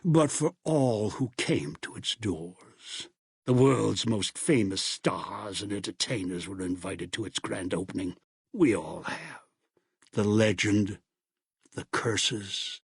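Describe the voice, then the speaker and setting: An actor playing a world-weary narrator of a doomed legend, with a husky, gravelly voice and an ominous, dramatic delivery. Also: male voice, fairly low pitch, lively intonation, dry recording, clean studio sound, full character voice. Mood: ominous, brooding. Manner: slow, ominous. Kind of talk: dramatic scripted game narration.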